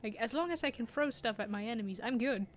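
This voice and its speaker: A woman's voice: a little high, animated, fast talker, a little hiss or hum. A young woman with a German accent, chatting casually and laughing as she plays a video game and answers chat. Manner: chatty, laughs often, reads chat aloud. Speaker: streamer. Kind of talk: casual gameplay commentary.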